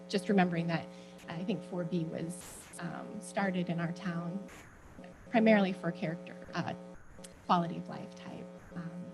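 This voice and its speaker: A middle-aged woman giving informal remarks at a town meeting, halting and hedging as she searches for words. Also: lowish voice, creaky, rough recording. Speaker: former board member giving public comments. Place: indoor local government meeting.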